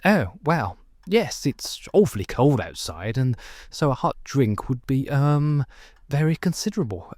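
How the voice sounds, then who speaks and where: An actor playing a polite, puzzled, old-fashioned gentleman traveller, hesitant and fussy, in a gothic audio play. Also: mid-range voice, sing-song, measured pace, no echo. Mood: nervously polite.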